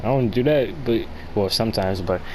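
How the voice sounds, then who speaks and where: An American man chatting casually as he tells a story, with slangy, profane and halting delivery. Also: on the low side, background noise. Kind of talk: casual storytelling chat among friends.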